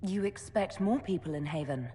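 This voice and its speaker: A woman playing a calm, earnest young elven mage in a video game cutscene, polite and even-tempered. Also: on the low side, normal speed, long reverb tail, a little hiss or hum, natural voice. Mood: curious.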